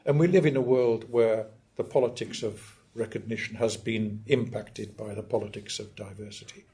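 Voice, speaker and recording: An older man from northeast England, giving a measured, emphatic academic lecture and often clearing his throat. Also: fairly low pitch, steady tone, mellow. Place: lecture hall.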